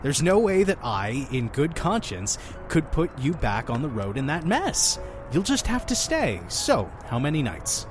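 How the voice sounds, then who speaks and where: Man playing a theatrical innkeeper, very animated pitch. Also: a little slow, faint music behind. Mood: breezy, insistent. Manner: effusive, talking over his guest. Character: chatty, theatrical, pushy male innkeeper of a mysterious inn. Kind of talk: audio drama acting.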